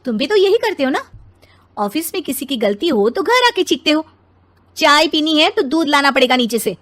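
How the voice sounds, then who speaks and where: A woman voicing an exasperated, sharp-tongued young mother and wife in a story, scolding emphatically. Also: sits high, big pitch swings, moderate pace, pitched above the performer's own voice. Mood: irritated.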